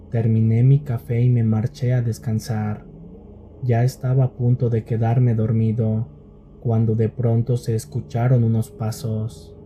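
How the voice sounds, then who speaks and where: A man reading scripted scary stories as a narrator, in a measured and deliberate storytelling manner. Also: medium pitch, dark tone.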